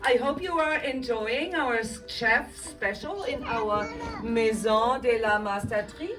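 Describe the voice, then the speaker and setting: A woman's voice: fairly high pitch, takes it slowly. A woman teacher hosting a school performance, announcing, narrating dramatically and prompting children in an encouraging, directing way, recorded from a distance. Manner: encouraging, directing, repeats lines loudly for children. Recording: music behind, picked up from a distance.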